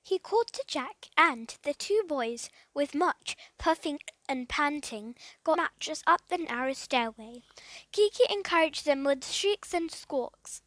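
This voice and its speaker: A woman reads a children's adventure story aloud as narrator. Her voice is high-pitched. The recording is dry, with very little room sound. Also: animated, a little slow, fairly bright tone, no echo. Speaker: storybook reader.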